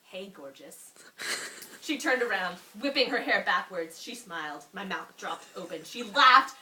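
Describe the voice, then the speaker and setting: A young woman reads a story aloud with a very lively, swinging pitch. Her manner is amused and informal, as if for a friend. The sound is roomy and distant from the mic, with a little noise. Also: measured pace. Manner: giggly, expressive, breaks off for asides. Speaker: reader.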